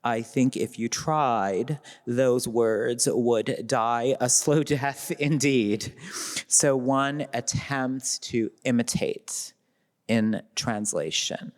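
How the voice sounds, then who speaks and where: A man with a Midwestern American accent, a literary translator speaking thoughtfully on a festival panel and laughing lightly as he goes. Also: medium pitch. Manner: thoughtful, laughs lightly, slows down when reading lines aloud. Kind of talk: reflective panel talk. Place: festival event hall. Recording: long hall reverb.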